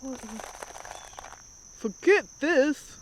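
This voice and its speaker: A man's voice: very high-pitched, expressive, very slow, a little hiss or hum, full character voice. A man playing a taunting, slangy thug sidekick in an audio roleplay. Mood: startled.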